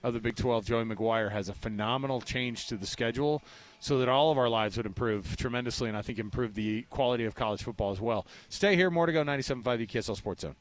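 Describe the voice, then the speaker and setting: A man's voice: mid-range voice, fast, slight background noise, rough recording. An American man hosting sports talk radio in an informal, conversational way. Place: outdoors at a ballpark.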